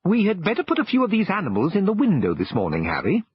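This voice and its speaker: English guy doing a brisk puzzled toyshop worker in a kids audiobook, raspy, very up and down, bit muffled. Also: high-pitched, wildly swooping pitch, no echo, voice pushed up, full character voice. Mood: puzzled, admiring.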